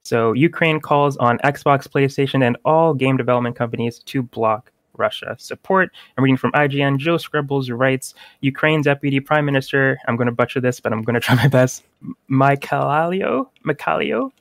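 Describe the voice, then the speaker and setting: A man's voice: mid-range voice, moderate pace, no room sound. A man co-hosting a gaming podcast, chatting casually and reading news aloud with small stumbles.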